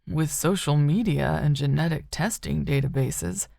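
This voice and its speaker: A woman audiobook narrator voicing a gentle, considerate man who speaks with care. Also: low voice, unhurried, vocal fry, dry recording, clean studio sound, full character voice. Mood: gentle, curious. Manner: gentle, careful.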